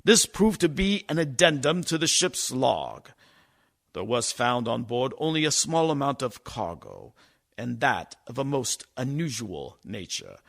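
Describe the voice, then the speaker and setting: Man acting slow, gravelly, grave doctor narrating. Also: medium pitch, big pitch swings, a bit bright, heavily acted. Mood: grave suspense. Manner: measured, suspenseful, deliberate. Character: grave male doctor presenting evidence, reading a news account. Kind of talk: dramatic radio play narration.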